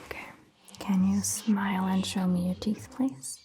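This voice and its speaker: Woman playing a slow, whispering vampire doctor. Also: mid-range voice, fairly even intonation, slight background noise, natural voice. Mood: gentle, reassuring. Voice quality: breathy, hushed, whispery. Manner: gentle, slow, reassuring, softly questioning. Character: caring, attentive female vampire doctor tending a patient. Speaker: ASMR performer. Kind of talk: soft-spoken ASMR roleplay, mostly whispered.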